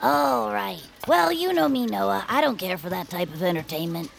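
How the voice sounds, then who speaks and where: Gravelly, plucky inventor Hatch. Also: female voice, mid-range voice, lively intonation, moderate pace, a little hiss or hum. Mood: unimpressed. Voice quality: very creaky, gravelly. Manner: plainspoken, easygoing. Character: plucky, laid-back inventor and adventurer Commander Hatch. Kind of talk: audio comedy drama acting.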